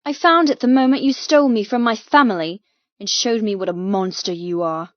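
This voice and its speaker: A woman's voice: mid-range voice, a little fast, dry recording, lightly acted. A woman plays a defiant young female captive in an audiobook drama. She is bold and provoking, pushing her words out with heated emphasis. The recording is dry and a little muffled. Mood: angry defiance.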